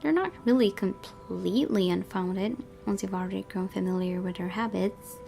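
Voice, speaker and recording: Woman narrating a game, slightly creaky. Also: mid-range voice, moderate pace, dark tone, faint music behind. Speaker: gaming video host reading a visual novel. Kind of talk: expressive story narration with casual let's play asides.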